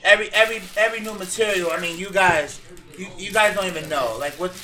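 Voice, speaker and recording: High bright hype-man host. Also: fast, low-quality recording. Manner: hyped, agreeable, repeats phrases. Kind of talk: casual chat.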